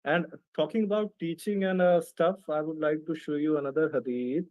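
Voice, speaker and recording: A man with an Indian accent, who does not speak English as his first language, debating in a confrontational, deliberate way on a close mic. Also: on the high side, steady tone, a little mellow, dry recording, low-quality recording. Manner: confrontational, slow and deliberate, reads out texts. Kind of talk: online debate.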